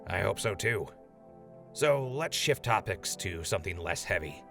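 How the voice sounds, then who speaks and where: A man's voice: sits low, lively intonation, unhurried, gravelly, sharp tone, faint music behind, exaggerated voice. A man playing an earnest, cheeky wasteland radio host in an audio drama, conversational and deferential. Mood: earnest, curious. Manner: conversational, deferential, hesitant when flustered. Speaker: radio host interviewing a general.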